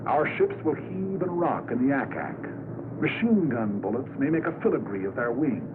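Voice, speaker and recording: Expressive 1940s American male newsreel-style narrator. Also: mid-range voice, normal speed. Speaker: documentary narrator. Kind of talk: 1940s wartime documentary scripted narration. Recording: scratchy 1940s film soundtrack, narrow band, noisy.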